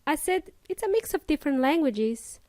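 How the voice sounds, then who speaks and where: Upbeat woman podcast host, Eastern European accent with Kiwi traces, bright high voice, dry close recording. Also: expressive, moderate pace, no echo. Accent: Eastern European with New Zealand and Australian traces. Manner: upbeat, addresses listeners directly with Spanish asides. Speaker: English teacher podcast host. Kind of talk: friendly podcast lesson.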